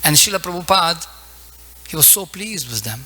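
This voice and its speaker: A man with a fairly high, bright and forceful voice delivers a devotional lecture. He speaks English as a second language, in short broken phrases, pausing to search for words as he explains scripture. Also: sing-song. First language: not English. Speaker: preacher giving a scripture lecture. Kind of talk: devotional sermon with Sanskrit quotations.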